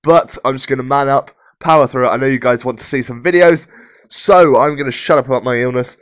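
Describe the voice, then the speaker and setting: A young man hosting casual game commentary, his voice croaky and rough from a sore throat, chatty and upbeat. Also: mid-range voice, a little fast, no echo. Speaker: gaming channel host.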